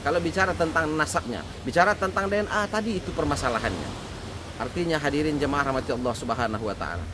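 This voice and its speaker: A man preaching a sermon to a congregation. He speaks earnestly, rising louder and higher on key words and then dropping low. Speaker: Islamic preacher. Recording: loud steady background noise.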